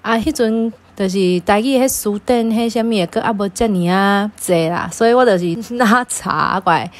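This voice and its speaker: A woman's voice: medium pitch, normal speed. A woman with a Taiwanese accent, talking casually as a parent being interviewed about personal experience.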